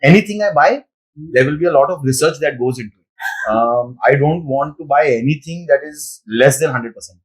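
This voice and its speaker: A man, a guest in a casual chat who talks quickly and mixes in Hindi words. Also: fairly high pitch, breathy edge, low-quality recording.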